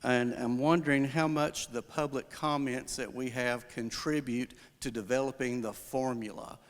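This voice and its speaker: A man with a booming voice giving public comment at a town hall into a handheld microphone, asking questions with some hesitations. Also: steady tone, moderate pace. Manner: loud, booming voice; inquisitive, with hesitations and restarts. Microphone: handheld microphone passed through the audience. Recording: echoey hall from off-mic.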